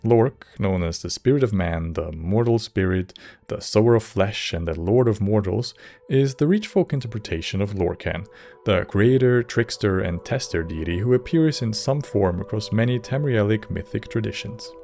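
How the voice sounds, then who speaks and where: A man giving scripted narration for a game lore video in a measured, slightly wry way. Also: on the low side, lively intonation, vocal fry, dark tone, faint music behind.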